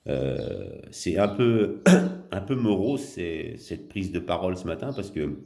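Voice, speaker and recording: A man giving casual spoken commentary in a vlog, halting and blunt, with many fillers and restarts. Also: deep voice, sing-song, gravelly, dark tone. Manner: indignant, blunt. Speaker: vlogger.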